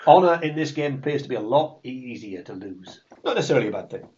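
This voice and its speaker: A man's voice: medium pitch, lively intonation, fast, darkish tone. A man acting as game master, joking and teasing his players. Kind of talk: running a tabletop game. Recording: muffled lo-fi recording.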